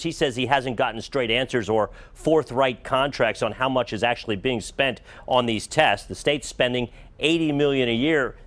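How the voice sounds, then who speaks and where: A man's voice: mid-range voice, a bit bright. An American man working as an investigative reporter, delivering scripted broadcast news narration.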